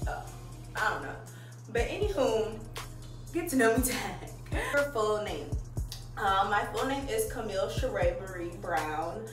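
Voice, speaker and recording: A woman's voice: medium pitch, sing-song, unhurried, far from the mic. A young woman from Maryland chatting candidly while curling her hair for a vlog, laughing often and swearing casually. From Maryland, USA. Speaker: vlogger. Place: at home. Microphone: phone camera. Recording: roomy, phone held away, music behind.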